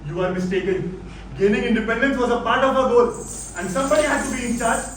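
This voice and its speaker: A man's voice: very high voice, quick pace, fairly bright tone. An actor with an Indian accent playing a stern, conservative older father in a stage play, speaking formally and admonishingly. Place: indoor theatre stage. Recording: echoey stage recording, distant mic, faint noise.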